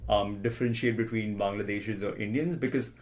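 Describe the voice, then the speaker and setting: A man's voice: lowish voice, fairly even intonation, a little fast. A young man hosting a podcast, speaking in a friendly, hesitant way with many restarts. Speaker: podcast host. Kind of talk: casual podcast interview.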